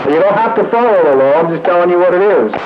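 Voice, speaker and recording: A muffled radio signal with clear static carries a man's fairly high-pitched voice. He is blunt and matter-of-fact, chatting informally with other operators. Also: animated, quick pace. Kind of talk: casual radio chat.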